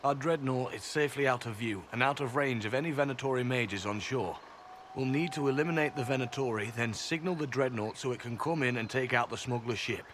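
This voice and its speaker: An actor playing an earnest, composed secret agent in a fantasy video game, measured and direct. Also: male voice, medium pitch, fairly bright tone, slight background noise, natural voice.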